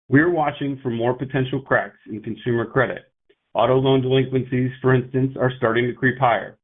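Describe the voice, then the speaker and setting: Man on a tinny conference call line. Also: mid-range voice. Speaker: company executive. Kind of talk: prepared investor remarks and analyst Q&A on an earnings call. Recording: tinny narrow-band phone line.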